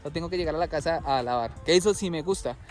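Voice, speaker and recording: A young Colombian man with a slightly high voice chats to camera in a rambling, easygoing way, often stopping to correct himself. He is recorded outdoors in a city, with soft music playing underneath. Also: fast. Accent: Colombian Spanish. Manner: chatty, rambling, self-correcting. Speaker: vlogger. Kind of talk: casual talk to camera.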